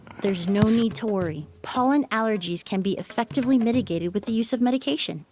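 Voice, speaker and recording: An actress playing a poised, polite young woman perfumer in an anime video game, speaking in a measured, courteous and explanatory manner. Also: a little high, darkish tone. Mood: explaining. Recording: game music behind the voice.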